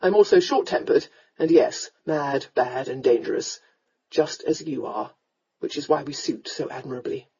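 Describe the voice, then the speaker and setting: Woman voicing a gruff, low-pitched young lord. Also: lively intonation, moderate pace, dark tone, lo-fi sound. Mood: heated. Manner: blunt, commanding, determined. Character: gruff, hot-tempered, tender young aristocratic rake. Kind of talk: romance audiobook character voice.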